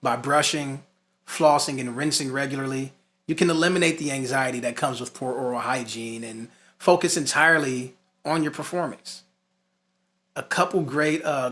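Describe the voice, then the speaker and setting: A man with a bright, crisp-edged voice at a middle pitch teaches like a music instructor. He works through prepared points in a conversational way, dropping in casual asides and leaning on key phrases for emphasis.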